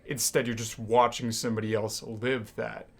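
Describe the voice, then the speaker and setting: A young man co-hosting a student podcast, talking casually about movies with animated, hyperbolic reactions. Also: fairly low pitch, moderate pace, gravelly. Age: young adult. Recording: noticeable background room noise.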